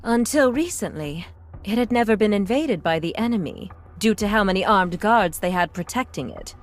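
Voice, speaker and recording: An actress playing a calm, knowing, regal queen who commands in a video game, with poised, unhurried delivery. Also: medium pitch, lightly acted. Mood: calm explaining. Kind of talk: English video game dub acting. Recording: music behind the voice.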